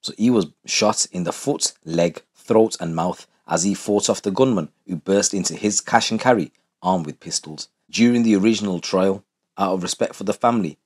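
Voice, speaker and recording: A man with a husky voice reading scripted true crime narration. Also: sits low, darkish tone.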